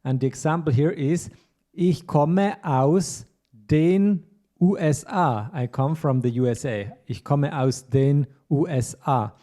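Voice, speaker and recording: A man giving an online German lesson, explaining grammar plainly and reading slow model sentences, each said twice. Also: a little high, warm tone. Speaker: German teacher.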